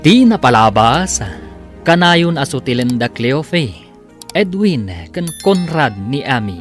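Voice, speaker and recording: Animated male radio drama announcer. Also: a little high, takes it slowly, soft background music.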